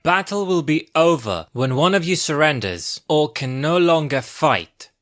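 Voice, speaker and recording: A woman playing a stern, measured alien official in an audio drama, formal and deliberate. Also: low-pitched, expressive, no echo, clean studio sound.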